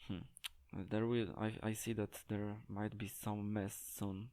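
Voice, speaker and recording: A man giving an unscripted gameplay tutorial, talking in a hesitant, halting way as he searches for words. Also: fairly low pitch, level tone, dark tone, clear, resonant tone. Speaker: game tutorial presenter.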